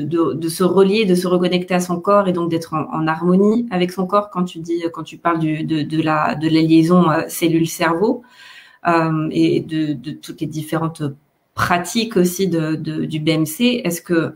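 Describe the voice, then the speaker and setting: A woman hosting a casual online interview, tentative and repeating words as she phrases her questions. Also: on the low side. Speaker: host of an online live session.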